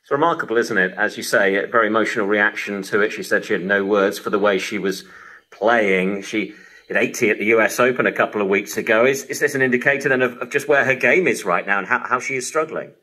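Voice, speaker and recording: A man's voice: fairly low pitch, fast talker, bright, forceful tone, rough recording. A man presenting a live sports radio programme, heard over a shortwave broadcast.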